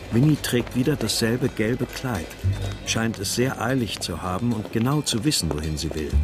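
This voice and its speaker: An actor as a low-voiced brooding writer. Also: male voice, close to an everyday voice. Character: brooding married male writer, grieving missing daughter. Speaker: narrator and lead character. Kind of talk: first-person radio drama narration and dialogue.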